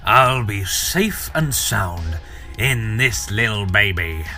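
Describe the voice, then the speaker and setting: An actor playing a gloating, taunting villain in an audio drama, with a drawn-out, mocking, theatrical delivery. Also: male voice, lowish voice, lively intonation, pushed and bright, faint music behind, full character voice. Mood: gloating menace.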